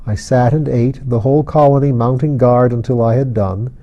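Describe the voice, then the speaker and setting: A man reading a fantasy novel aloud as an audiobook narrator, in a measured storytelling manner. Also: medium pitch, fairly even intonation, dark tone, muffled recording.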